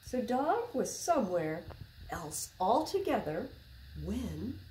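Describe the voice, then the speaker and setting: A woman in her sixties reading a picture book aloud to children, expressive and deliberate, as a library storyteller. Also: on the high side. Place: small public library room, indoors. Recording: roomy echo, faint background noise.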